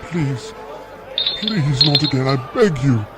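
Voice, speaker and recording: A man playing a desperate condemned prisoner in an audio drama, pleading and halting as he begs for mercy. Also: medium pitch, lively intonation, over loud music. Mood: desperate, frantic.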